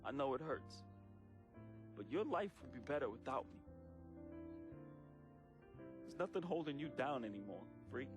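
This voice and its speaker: A man's voice: medium pitch, very animated, close to an everyday voice. Actor as remorseful young man saying farewell over music. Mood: resigned, loving. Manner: confessional, street-slang phrasing with deliberate pauses. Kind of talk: dramatic farewell monologue in a video game.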